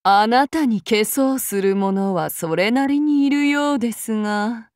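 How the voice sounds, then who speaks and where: A woman playing a soft-spoken, menacing female demigod avenger in an anime game, using archaic, formal and polite speech. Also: on the high side, measured pace, no echo, clean studio sound, natural voice. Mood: amused, courteous. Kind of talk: anime mobile game voice lines.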